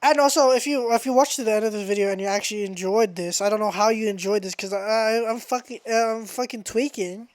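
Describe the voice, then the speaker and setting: A young adult woman hosting a podcast in a casual, slangy, sweary freestyle ramble, scattered and excitable, close on the microphone. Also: mid-range voice, fast, few pauses, dry recording. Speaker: podcast host. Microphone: close microphone through a mixer.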